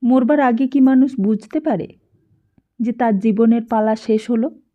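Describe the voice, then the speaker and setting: A woman reading a literary story aloud as an audiobook narrator, with a dramatic delivery and expressive pauses. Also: a little high. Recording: dull, lo-fi sound.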